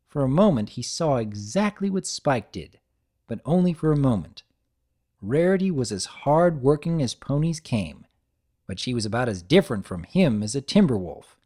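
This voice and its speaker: Casting: woman narrator, low voice, lively inflection, measured storytelling. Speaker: reader. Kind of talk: dramatic narration of fan fiction.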